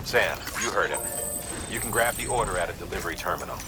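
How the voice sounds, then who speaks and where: Grave game commander guy, low voice, matter-of-fact mission briefing, big echoey hall. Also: animated, fast, loud music behind the voice. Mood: businesslike. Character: grave male operations commander briefing his courier. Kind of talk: video game cutscene acting, mission briefing. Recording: long hall reverb, noisy ambience behind.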